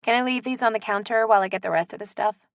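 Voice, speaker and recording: A woman's voice: medium pitch, steady tone, very fast, vocal fry, no echo, voice pushed up, close to an everyday voice. A woman narrator voicing a polite, good-natured woman in an audiobook story. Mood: courteous.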